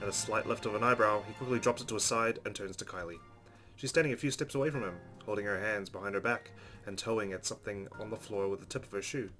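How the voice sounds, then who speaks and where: A man's voice: on the low side, fairly even intonation, fast, fairly bright tone. A man hosting a game playthrough, reading the story narration aloud expressively. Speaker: let's play host reading game narration. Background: game music.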